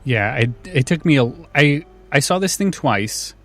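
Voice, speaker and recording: A man's voice: mid-range voice, animated, unhurried, fairly bright tone. A man hosting a casual film discussion, bluntly and jokingly complaining. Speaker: podcast host.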